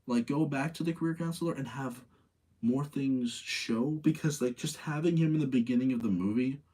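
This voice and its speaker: A man's voice: mid-range voice, moderate pace, mellow, rough recording. Casual man rambling through opinions. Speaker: livestream host reviewing movies.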